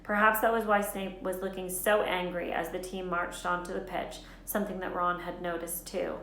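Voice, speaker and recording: A woman teacher reading a children's novel aloud in a lively, expressive way, fixing small slips as she goes. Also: on the low side, normal speed. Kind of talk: storybook read-aloud narration. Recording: roomy echo, faint background hiss.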